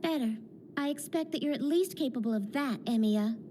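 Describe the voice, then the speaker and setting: Woman acting a high-voiced, haughty teenage girl mage. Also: a little fast, background noise, lightly acted. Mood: smug, explanatory. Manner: smug, explanatory, sharp-tongued. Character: haughty, brisk teenage girl mage, exasperated know-it-all. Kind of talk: English anime dub acting. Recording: loud music behind dialogue. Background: loud music.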